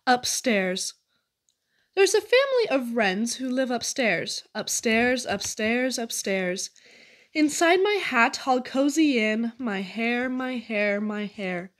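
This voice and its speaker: A woman giving an expressive reading of children's poetry. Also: fairly high pitch, takes it slowly. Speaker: poetry reader.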